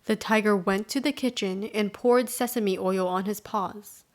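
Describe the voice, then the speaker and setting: A woman's voice: medium pitch, fairly even intonation, a little slow. A woman with a mid-range voice tells a children's folktale. She delivers it as scripted storybook narration.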